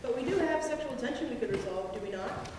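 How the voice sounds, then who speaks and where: A woman playing a calm, insistent, unsettling man in an amateur comedy reading. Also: high-pitched, quick pace. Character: Russia, calmly insistent, unsettling large man. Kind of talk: amateur dramatic reading of comedy fan fiction. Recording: noisy echoey hall from far off.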